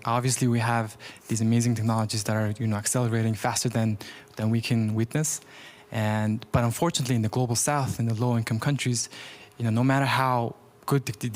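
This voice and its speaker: A man from Mongolia speaks up from the audience with an earnest question. His pitch stays flat and even at a middling level, and he leans on tags like "you know" as he talks. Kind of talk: question from the floor.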